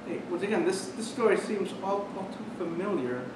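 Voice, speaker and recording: A man's voice: animated, normal speed. A man casually reviewing a cartoon episode, retelling the plot in a rambling way with restarts. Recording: very echoey, distant from mic, noisy with rumble.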